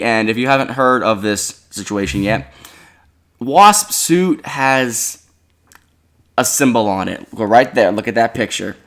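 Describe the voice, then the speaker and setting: A man's voice: medium pitch, a bit bright. A man hosting a casual chat, rambling with many fillers and restarts. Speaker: host.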